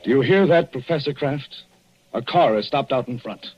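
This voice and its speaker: A man's voice: medium pitch, expressive, normal speed, lightly acted. A man playing a coolly taunting avenger. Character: cold calm male avenger exposing a killer. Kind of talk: 1940s radio acting. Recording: dull old radio broadcast.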